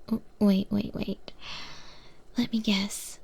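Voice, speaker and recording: A woman acts out a female void monster who runs a kitchen, wry and easygoing. Her voice is a breathy, husky whisper with a dark, relaxed tone and a gravelly fry. She takes her time, pausing thoughtfully as she mentors the listener in an ASMR roleplay. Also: mid-range voice, exaggerated voice. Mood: puzzled, probing.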